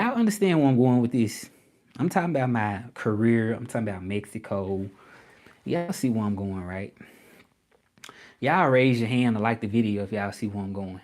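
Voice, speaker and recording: A man's voice: on the low side, a little mellow. Man chatting casually to livestream viewers. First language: English. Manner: chatty, repetitive, addresses viewers as y'all. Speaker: livestreaming creator and language tutor.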